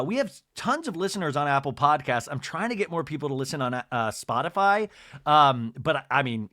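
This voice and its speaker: A man hosting a solo podcast that recaps reality TV, talking in a lively, rambling, sarcastic way and swearing now and then. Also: a little high, no echo, studio quality. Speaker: podcast host.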